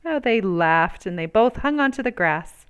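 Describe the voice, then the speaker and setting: A woman reading a picture book aloud as a storyteller, with expressive, measured and emphatic phrasing. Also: medium pitch, clean tone. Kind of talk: expressive read-aloud narration.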